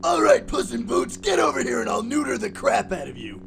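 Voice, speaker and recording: Very high, croaking cartoon brawler. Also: male voice, wildly swooping pitch, brisk, a little hiss or hum, exaggerated voice. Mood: belligerent. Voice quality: strained, gravelly. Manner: shouty, boastful. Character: brash, hot-headed dim young male brawler. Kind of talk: over-the-top cartoon parody dub.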